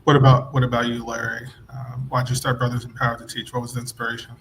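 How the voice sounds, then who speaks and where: A man hosting an interview, asking informal questions in a halting way and often repeating words. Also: mid-range voice, completely flat delivery. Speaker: interview host. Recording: faint background noise.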